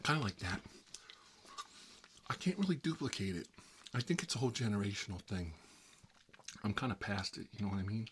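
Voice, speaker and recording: A man's voice: on the low side, fairly quick, long pauses, a bit bright, slight background noise, lightly acted. A man playing a put-upon, self-deprecating middle-aged uncle in a rambling, folksy ASMR comedy role-play. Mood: chatty, rambling.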